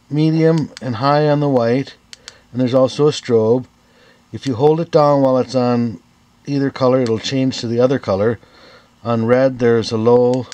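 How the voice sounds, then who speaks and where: A man's voice: mid-range voice, dark tone. A man in his fifties presenting a product review in a plain, step-by-step instructional way. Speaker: video host, gear reviewer. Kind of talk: product review narration to camera.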